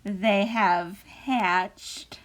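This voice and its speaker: A woman voicing a calm, matter-of-fact female pig in a picture book read-aloud, speaking in a deliberate way. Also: mid-range voice.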